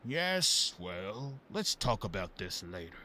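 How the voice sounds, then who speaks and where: A man's voice: lowish voice, very animated, takes it slowly, pushed and bright, in a large hall, heavily acted. A man playing a greedy, blustering museum investor in an audio drama. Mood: evasive.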